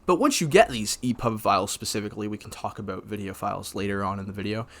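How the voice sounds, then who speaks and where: A man's voice: lowish voice, fast talker, fairly bright tone. A young man of about nineteen from Canada, talking casually to camera in a rambling way full of fillers and restarts. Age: young adult, about 19. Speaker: vlogger.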